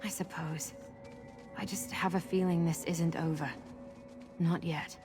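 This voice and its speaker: An actress playing an earnest, emotive young adventurer heroine in a video game. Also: female voice, fairly low pitch, fairly even intonation, measured pace, lightly acted. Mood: uneasy, subdued. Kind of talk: video game character acting. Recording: loud music behind.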